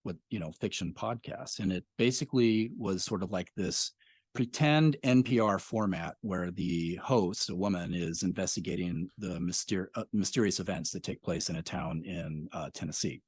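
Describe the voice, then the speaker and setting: A man's voice: deep voice, moderate pace, breathy edge, dry recording. A man in his fifties, a podcast creator talking as a guest in a casual interview, rambling with many fillers.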